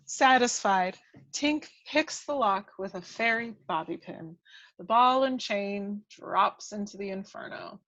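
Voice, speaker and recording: Female narrator for screen action, dramatic and very unhurried, slightly muffled, very dry mic. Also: medium pitch, a bit bright, no echo. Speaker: script narrator. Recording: dull lo-fi, dry microphone.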